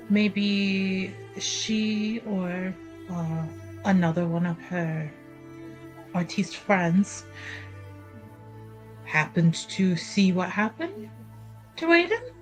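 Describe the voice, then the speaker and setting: A woman voicing a blunt, curious adventurer woman in a tabletop game, speaking in a deliberate, measured way. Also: medium pitch, expressive. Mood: measured, thoughtful. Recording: faint music behind.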